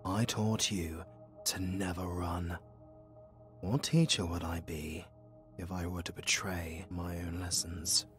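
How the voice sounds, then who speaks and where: A man playing a noble, resigned demon hunter in a fantasy audio drama, solemn and measured. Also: low-pitched, steady tone, soft background music. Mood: gentle, resolute. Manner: solemn, measured, hushed at times.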